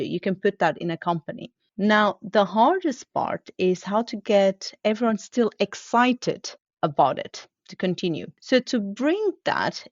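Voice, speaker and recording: A woman's voice: mid-range voice, a little mellow, no echo, muffled recording. A woman with a Portuguese accent, a guest in a conversational interview, talking earnestly and acting out little quoted exchanges. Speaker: guest, continuous improvement leader.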